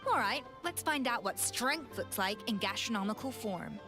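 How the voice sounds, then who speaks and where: A woman's voice: a little high, lively intonation, normal speed, over music, close to an everyday voice. A woman playing a confident, exacting young chef in a video game, speaking in a composed, precise, refined manner. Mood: thoughtful.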